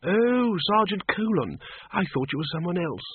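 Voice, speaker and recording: Guy doing an old undead gentleman for an audiobook, high pitched, polite, wry, kinda uneasy, thin phone sound. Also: sing-song, normal speed, breathy edge, full character voice. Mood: relieved, polite. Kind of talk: audiobook character voice. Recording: narrow phone-like radio sound.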